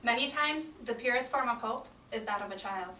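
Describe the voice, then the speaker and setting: A woman's voice: a little high, fairly quick. A young woman giving a scripted liturgical reading in a readers theatre performance. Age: young adult. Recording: echoey room, distant mic, faint noise.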